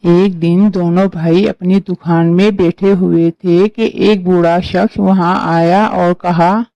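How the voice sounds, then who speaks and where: A woman's voice: on the low side, fairly even intonation, slow pace, mellow, no room sound, highs cut off. A woman narrating a scripted story as a storyteller.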